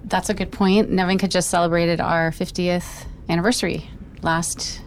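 A woman's voice: on the low side, little pitch movement, moderate pace, a little hiss or hum. A woman choir director, upbeat and a bit scattered as she chats. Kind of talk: casual chat.

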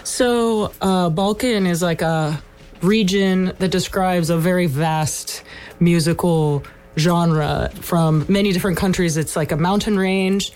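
Woman, from Ukraine, casual band chat, rambling, lots of fillers, lowish voice. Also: slow, a little mellow. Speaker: band member.

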